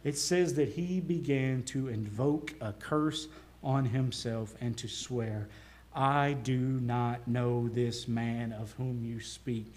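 A male pastor with a Southern US accent preaching a sermon, earnest, swelling into emphasis and dropping quiet. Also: mid-range voice, fairly even intonation, slow. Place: church.

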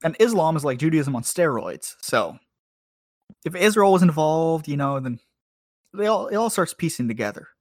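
A man's voice: on the high side, sing-song, moderate pace, no echo, studio quality. A man bantering and telling stories casually as a podcast co-host, with a profane, joking and teasing manner.

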